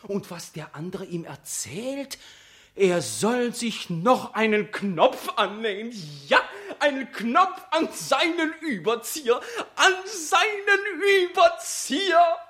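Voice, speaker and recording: A theatrical, wildly swooping excitable onlooker. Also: medium pitch, slow pace. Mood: rising glee. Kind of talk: radio play interior monologue.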